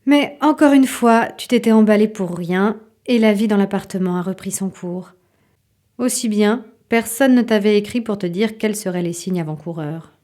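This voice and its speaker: A woman's voice: medium pitch, normal speed, darkish tone. A woman narrating a literary audio fiction in the second person.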